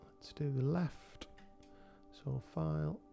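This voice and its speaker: Gravelly man with a head cold. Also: on the high side, takes it slowly, soft background music. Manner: relaxed, thinking aloud with many ums. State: has a cold. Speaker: game developer tutorial host. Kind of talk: screen-recorded software tutorial.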